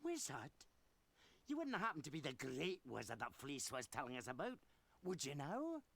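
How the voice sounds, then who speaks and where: An actor playing a bossy but fair shepherd foreman in a game, gruff in his orders. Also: on the high side, huge pitch swings, normal speed, fairly bright tone, no room sound, full character voice. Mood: questioning, commanding. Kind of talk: game audio acting.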